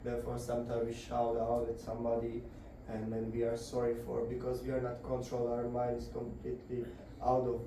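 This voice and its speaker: A man giving a devotional scripture lecture to a group indoors, halting and searching for words as he explains. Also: mid-range voice, monotone, background noise. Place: indoor gathering room. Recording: very echoey room, voice far off.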